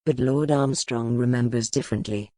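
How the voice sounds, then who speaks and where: A weary medieval duke, as a woman narrator plays him, speaks low and close in a dry-sounding space. His words are terse, formal and guarded, as storybook dialogue. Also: moderate pace, dark tone, dry recording. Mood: guarded accusing. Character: weary, curt medieval duke, a soldier husband. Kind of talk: audiobook character dialogue.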